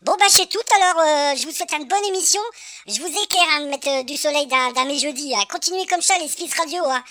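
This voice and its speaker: A woman plays a cheeky young guy phoning in to gush about his favourite show, rambling in slang and stumbling over hesitations. The voice sits high and bright, recorded close and dry. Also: fast talker, no echo. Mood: chatty. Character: young male radio fan, slangy and cheeky. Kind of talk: comic phone-in sketch.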